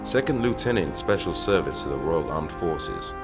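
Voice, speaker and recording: A man's voice: rumbling low, sing-song, normal speed, exaggerated voice. An actor playing a grave young male soldier who states his rank formally. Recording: music bed underneath.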